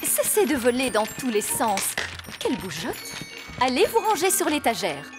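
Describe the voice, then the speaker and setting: An actress voicing a bossy, matter-of-fact fairy nanny who scolds and answers in an exasperated way, in a French cartoon dub. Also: female voice, high voice, huge pitch swings, moderate pace, music in the background. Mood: scolding. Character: bossy, grumpy middle-aged fairy nanny.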